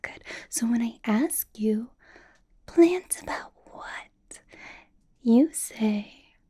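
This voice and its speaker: A woman playing a doting, possessive and sweetly menacing female vampire boss in a whispered ASMR roleplay, with slow, breathy delivery. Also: high voice, heavily acted. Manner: breathy, slow whispering with soft little laughs.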